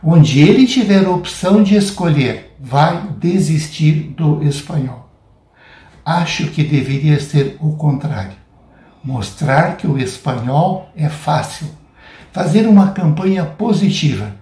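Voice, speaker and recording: A man with a Brazilian Portuguese accent giving a prepared academic lecture in a deliberate, measured, didactic way. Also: fairly high pitch, lively intonation, dark tone. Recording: roomy, echoing sound.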